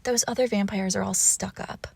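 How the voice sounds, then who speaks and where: Breathy, teasing vampire girlfriend acted by a woman. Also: mid-range voice, steady tone, darkish tone, no room sound. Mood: playful, haughty. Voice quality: breathy, often dropping into whispers. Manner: teasing, haughty, slow and deliberate. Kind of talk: intimate romantic audio roleplay, often whispered.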